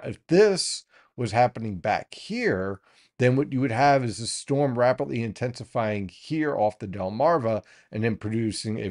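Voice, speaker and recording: A man in his thirties, a weather forecaster, giving a steady explanatory briefing over weather maps from a small home studio. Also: fairly low pitch. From United States. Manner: steady explanatory tone, pointing things out on charts.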